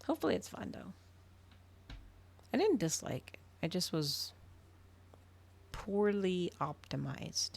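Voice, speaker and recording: A woman's voice: on the low side, very animated, slow pace, creaky, long pauses, a little mellow, husky. A woman livestreamer chatting casually to her viewers, rambling, trailing off and thinking aloud. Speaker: livestreamer hosting an ASMR and gaming channel.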